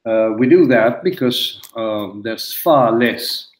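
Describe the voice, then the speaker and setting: Older man from Argentina, roomy webcam audio. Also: mid-range voice. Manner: halting, many fillers and restarts. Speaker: webinar moderator. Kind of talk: opening remarks on a video call. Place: room at home. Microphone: laptop webcam on a video call. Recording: roomy video call audio.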